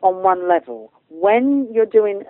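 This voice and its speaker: A woman's voice: mid-range voice, slow, no echo. British woman on a phone-like line. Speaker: guest, spiritual medium and channeller. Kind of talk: radio interview talk.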